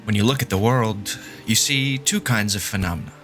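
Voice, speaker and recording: Guy playing a smug doomy math genius in an audio drama, lowish punchy voice, lectures emphatically, music underneath. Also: brisk, a bit bright, natural voice. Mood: lecturing.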